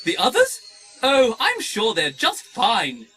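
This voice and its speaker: An actor playing a fussy, chattering protocol droid with a prim, fretful, effusively polite manner in an audio drama. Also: male voice, extremely high pitch, wildly swooping pitch, slow, over music, exaggerated voice. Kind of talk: 1990s audio drama acting.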